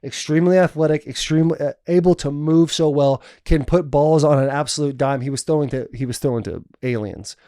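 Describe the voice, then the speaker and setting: A man co-hosting a podcast, talking football in a relaxed, easygoing way with lots of casual filler. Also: fairly high pitch, fairly quick, few pauses, no echo, studio quality. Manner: relaxed, talks in long runs with fillers like "dude". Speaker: podcast co-host, former football player. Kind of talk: casual sports chat.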